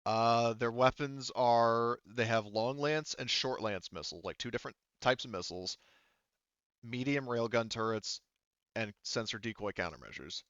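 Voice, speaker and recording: A man running a tabletop game, explaining rulings and details in a casual, halting way. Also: medium pitch, a bit bright, smooth, dry recording, muffled recording. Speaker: game master.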